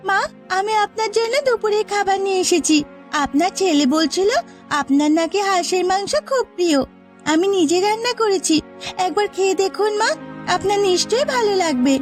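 A woman voicing a gentle young new bride in a cartoon story, speaking softly and pleadingly as a dutiful daughter-in-law. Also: very high-pitched, lively intonation, brisk, soft background music. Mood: gentle, hopeful. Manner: soft, pleading, deferential. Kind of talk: cartoon story character acting.

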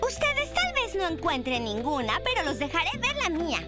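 A woman's voice: very high-pitched, huge pitch swings, moderate pace, over loud music, exaggerated voice. A woman voicing a bossy, boastful young girl triceratops in a cartoon dub, with a know-it-all manner and a Latin American accent. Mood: boastful, confident. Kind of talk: Spanish cartoon dub acting.

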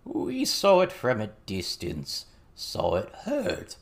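A man voicing a small male goblin in a storybook, with a froglike croak and slangy mock-aristocratic airs. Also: mid-range voice, wildly swooping pitch, pitched above the performer's own voice, full character voice. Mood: shifty, explaining. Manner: slow, drawn-out delivery with pompous slang.

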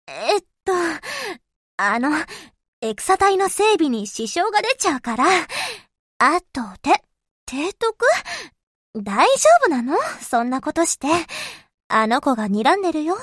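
Cheerful warship girl, anime game character, very high voice, girlish, earnest, sweetly familiar, dry close sound. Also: big pitch swings, a little slow, pauses often, fairly bright tone, no room sound, full character voice. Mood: gently chiding, idle. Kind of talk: Japanese game voice acting, short scripted lines.

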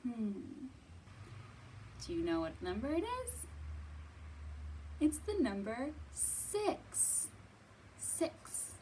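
Woman, thirties, kids' storytime host. High, animated voice, simple teacherly phrasing, faint camera-distance room sound with some noise. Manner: simple questions to small children, drawn-out words, thoughtful hums. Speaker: children's librarian and storytime host. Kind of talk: children's storytime teaching, read-aloud. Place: indoors in a library.

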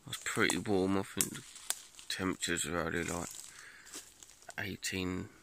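Low, gravelly British man vlogging outdoors. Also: steady tone, normal speed. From United Kingdom. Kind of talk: casual vlog chat. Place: outdoors in woodland. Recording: noisy handheld outdoor recording.

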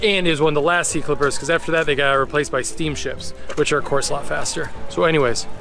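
A man's voice: on the high side, steady tone, quick pace, vocal fry. Man, 40s, travel host; chatty, wry explainer, recorded outdoors on a camera mic with music bed. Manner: chatty, joking, touristy explainer. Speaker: travel vlogger guide. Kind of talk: casual travel vlog narration. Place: outdoors in a park and museum halls.

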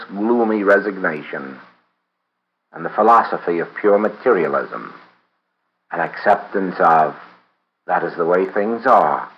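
A man reading a spiritual book aloud in a measured, deliberate way, pausing often between phrases. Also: deep voice, highs cut off. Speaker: reader of a book. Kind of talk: read-aloud audiobook of a spiritual text.

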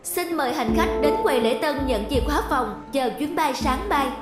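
A woman voicing a formal airport announcer in a cartoon, measured and courteous. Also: sits high, roomy sound, over loud music, natural voice.

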